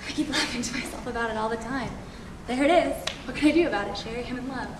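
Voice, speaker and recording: A woman's voice: fairly high pitch, quick pace, natural voice. A young woman playing a wisecracking secretary, cynical yet giddily in love, in an emphatic stage monologue. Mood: elated, defiant. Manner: emphatic, declarative. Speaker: student actor. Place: theatre workshop performance space. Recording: echoey room from far off, hissy noise.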